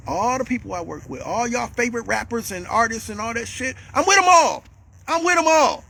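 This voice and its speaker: A man delivering a heated, repetitive monologue as a vlogger, calling out a rival and swinging up to shouts. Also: very high-pitched, big pitch swings, brisk, creaky, husky.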